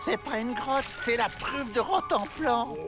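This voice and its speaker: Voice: mid-range voice, a little slow, far from the mic, natural voice. An actor voicing a matter-of-fact outlaw sidekick in a cartoon. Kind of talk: animated cartoon acting. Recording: faint music behind.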